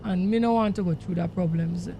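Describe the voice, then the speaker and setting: A Jamaican man streams casually over some engine noise. His voice sits high for a man yet is full of gravel, and he talks straight to viewers, repeating himself for emphasis. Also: brisk, warm tone. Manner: emphatic, repetitive, addresses viewers directly. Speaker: game livestreamer. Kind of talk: casual livestream chat while driving a truck sim. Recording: noisy, engine rumble behind voice. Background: truck engine sounds from the game.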